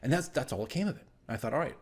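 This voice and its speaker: A man telling the story of his tabletop game session as dungeon master, in a casual, animated, rambling vlog style. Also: medium pitch, rapid-fire. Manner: animated, rambling asides with acted-out exclamations.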